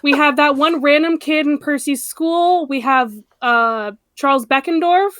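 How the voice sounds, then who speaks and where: Woman, co-host, high voice, dry close sound, hesitant casual chat. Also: measured pace, fairly bright tone, no room sound. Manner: hesitant, lots of ums and restarts. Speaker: podcast co-host.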